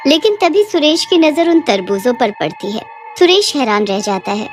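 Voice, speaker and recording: A woman's voice: high voice, very animated, normal speed, soft background music. A woman narrating a scripted cartoon horror bedtime story.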